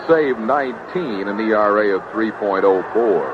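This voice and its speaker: American baseball announcer, noisy old radio. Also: male voice, fairly low pitch, normal speed. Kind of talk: live sports commentary. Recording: noisy, dull 1970s radio broadcast tape.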